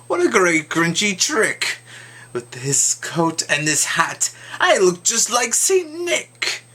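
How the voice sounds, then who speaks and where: A man's voice: high-pitched, wildly swooping pitch, slow pace, bright, forceful tone, husky, pitched above the performer's own voice, full character voice. A man voicing a sour, scheming old grouch of a storybook creature who hates Christmas, with a snarling, sneering manner. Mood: gleeful scheming.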